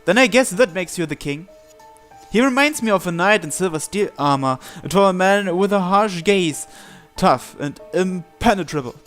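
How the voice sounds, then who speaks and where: A man with a fairly high voice narrates a visual novel as he plays. He reads the text expressively and drops in casual remarks, with music behind him. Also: animated, moderate pace, fairly bright tone. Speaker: gamer hosting a visual novel playthrough. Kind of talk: reading game text aloud with casual commentary.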